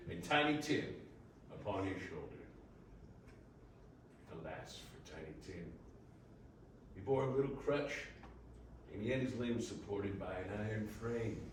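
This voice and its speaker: A man narrating a scripted story for readers theater in a measured, theatrical way. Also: fairly low pitch. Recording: very echoey room, distant mic, faint noise.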